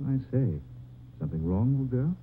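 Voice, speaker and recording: An actor playing a gallant young boyfriend with an upper-class British accent in an old-time radio drama, breezy and theatrical. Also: male voice, medium pitch, expressive, normal speed, slight background noise, natural voice. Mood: concerned. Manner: breezy, theatrical, affectedly chivalrous. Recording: tinny old radio recording, muffled.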